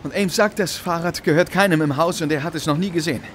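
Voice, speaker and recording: Guy doing a keen dutiful young-sounding sergeant, radio play, high voice, excited reporting, faint noise around him. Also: fast talker, close to an everyday voice. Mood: eager, reporting. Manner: excited, deferential, calling out. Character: eager, dutiful male police sergeant. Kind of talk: German radio play acting.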